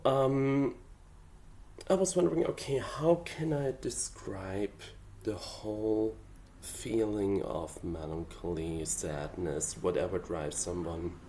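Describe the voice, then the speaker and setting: A man's voice: fairly low pitch, a little mellow. A man whose first language is German, talking through his draft song lyrics in a casual, hesitant and thoughtful way with many fillers. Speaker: hobby songwriter. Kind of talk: casual explanatory vlog.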